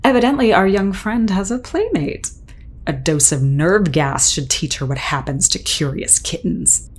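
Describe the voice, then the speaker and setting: A calculating female villain, acted by a woman in an audio drama. She speaks slowly with mocking, lively intonation and gives clipped orders. Faint ambience and noise sit behind. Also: lowish voice. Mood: cold mockery. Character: cool, calculating villainous woman boss of zealots.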